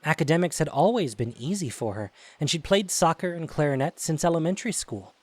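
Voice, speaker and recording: A man's voice: a little high, expressive, fairly quick, a little mellow, dry recording. A man narrating an audio drama in a scripted storytelling style. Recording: faint hiss.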